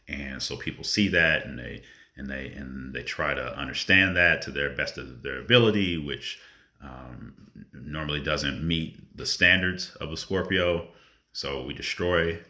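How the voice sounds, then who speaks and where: A man with an extremely deep voice that carries a forceful, pressing edge. He talks through a spontaneous spiritual monologue, earnest and reflective, letting long pauses hang between phrases. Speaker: spiritual vlogger.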